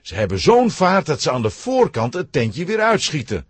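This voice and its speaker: Man, children's story narrator, very lively intonation, husky edge, dry close sound. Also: fairly high pitch, moderate pace.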